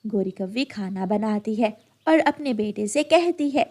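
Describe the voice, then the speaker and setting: A woman narrating a cartoon story for children in a lively storybook manner. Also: medium pitch, a little slow.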